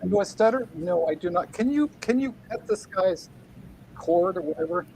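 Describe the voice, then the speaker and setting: A middle-aged man with a notably high voice delivers a furious, profanity-laced rant over a video call. He repeats phrases and trips into restarts, leaving a faint hum in the background. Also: lively intonation, moderate pace, warm tone, low-quality recording. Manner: angry, profane, repeats himself, stumbling restarts. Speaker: guest writer. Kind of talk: angry livestream rant.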